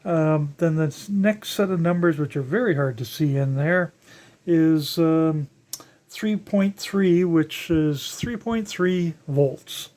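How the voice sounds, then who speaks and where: Older hobbyist presenter, slow pace, halting, casual hands-on narration, thinking out loud. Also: mid-range voice. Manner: halting, musing aloud while working with hands. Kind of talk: casual unscripted teardown narration. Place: indoors at a workbench.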